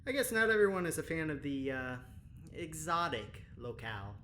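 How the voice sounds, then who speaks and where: A woman's voice: sits low, sing-song, moderate pace, fairly bright tone, slight background noise. A woman acting as a cheerful, chatty, over-familiar spaceship engineer in an audio drama, with a breezy, rambling, teasing manner. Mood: friendly, apologetic.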